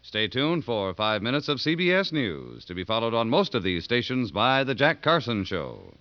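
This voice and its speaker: A man's voice: mid-range voice, very animated, normal speed. A man with an American accent reading program promos as a network radio announcer in 1950s style. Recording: muffled vintage radio recording. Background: music.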